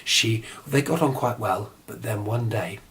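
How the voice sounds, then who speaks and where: A man's voice: on the low side, measured pace, a bit bright. A man tells a children's story in an informal, improvised way. He chats along casually and drifts into offhand asides as he goes. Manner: chatty, digressive, mock-casual asides.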